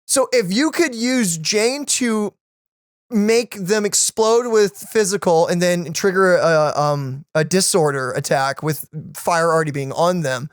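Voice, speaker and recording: A young adult hosting a reaction video with excitable casual commentary full of gamer slang. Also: mid-range voice, a little slow, clear, resonant tone, no echo, clean studio sound.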